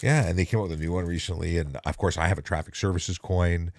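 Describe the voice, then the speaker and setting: Very deep, gravelly middle-aged man, a stream host who chats loosely and restarts his sentences often; dry, close sound. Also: fairly even intonation, darkish tone, dry recording. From Ontario. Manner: halting, with many restarts. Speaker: host of a police traffic Q&A livestream. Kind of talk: casual livestream chat.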